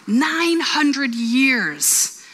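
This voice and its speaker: A woman in her forties gives an earnest, conversational sermon, mixing in personal stories. Her voice is bright and clear. It rings with the long reverb of a church hall. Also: high voice, very animated, extremely slow. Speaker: pastor.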